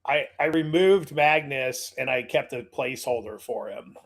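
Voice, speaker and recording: A man hosting a casual video call chat, talking in a rambling, halting way with many fillers and restarts. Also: mid-range voice, gravelly. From Washington state. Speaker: host.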